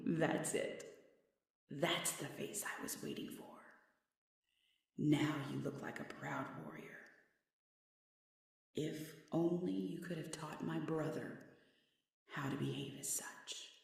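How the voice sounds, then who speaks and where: A cruel half-demoness, half-witch villainess voiced by a woman taunts her foe with imperious, theatrical menace. Her voice has a creaky edge and rings with heavy echo, slightly away from the mic, in the manner of a fantasy ASMR roleplay. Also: low-pitched, steady tone, heavily acted. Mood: scornful. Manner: mocking, imperious, theatrical menace with slow drawn-out pauses. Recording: very echoey, slightly distant.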